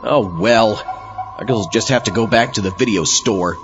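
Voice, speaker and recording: A man playing a deadpan, easily exasperated zombie astronaut who hosts a comic audio drama. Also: lowish voice, normal speed, lo-fi sound, loud music behind the voice, natural voice. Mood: irritated. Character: mildly irritated, deadpan undead zombie astronaut host. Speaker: podcast host.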